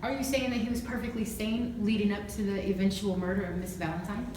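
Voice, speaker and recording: Casting: woman as probing examiner, medium pitch, formal phrasing, student-film drama, distant and noisy recording. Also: fairly even intonation, quick pace, lightly acted. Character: female questioner formally examining a witness about a murder case. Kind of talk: amateur dramatization acting. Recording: very echoey room, distant mic, clear noise.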